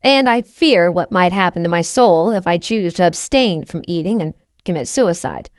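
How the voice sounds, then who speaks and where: A woman's voice: on the low side, animated, normal speed, no echo, studio quality. A woman reading a first-person novel aloud as an audiobook narrator.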